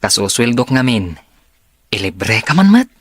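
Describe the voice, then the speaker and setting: A man's voice: medium pitch, sing-song, normal speed, dry recording. A man acting a confident, easygoing young engineer in an Ilocano radio drama. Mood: teasing.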